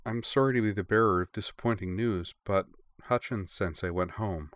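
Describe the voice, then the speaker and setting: A man's voice: fairly low pitch, moderate pace, a bit bright, dry recording, lightly acted. A man voicing a polite schoolgirl in an audiobook, apologetic and formal. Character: polite, apologetic schoolgirl delivering bad news. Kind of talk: audiobook character dialogue.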